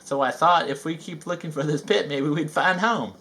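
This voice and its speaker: A man's voice: a little high, normal speed, fairly bright tone. Guy reading as a mild simple bear in a kids story, earnest and hungry.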